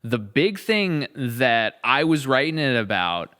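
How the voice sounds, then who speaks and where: A man's voice: mid-range voice, big pitch swings, takes it slowly, pushed and bright. A man in casual, reflective podcast conversation as a writer and co-host, rambling and emphatic as he thinks aloud.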